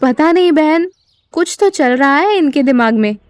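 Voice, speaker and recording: A woman playing a caring, worried, traditional wife who gently scolds in an audio drama. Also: high-pitched, animated, measured pace.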